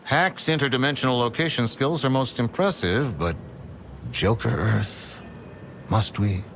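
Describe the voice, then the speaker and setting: An actor playing a cold, haughty genius villain in a video game, articulate and condescending. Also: male voice, medium pitch, unhurried, close to an everyday voice. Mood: disdainful. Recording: loud ambient machinery hum behind.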